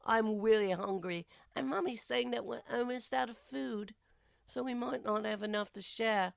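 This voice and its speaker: A woman's voice: a little high, moderate pace, vocal fry, no room sound, studio quality. A woman voicing a hungry, timid little colt reading out a letter in a fan audio drama. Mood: apologetic, hungry.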